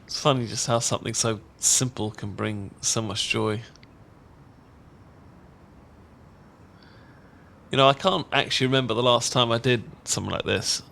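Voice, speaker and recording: A British man playing a shy, tender roommate in a romantic ASMR audio roleplay, speaking in a gentle, halting, earnest way. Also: mid-range voice, steady tone, gravelly, slight background noise. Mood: playful, wistful. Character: shy, tender male roommate confessing love.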